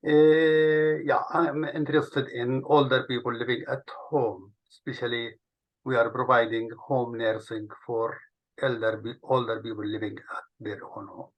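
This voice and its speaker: A man's voice: mid-range voice, level tone, normal speed, muffled recording. A man in nursing education introducing himself on a video call, plain and correcting himself. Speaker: nurse educator. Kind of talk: webinar self-introduction.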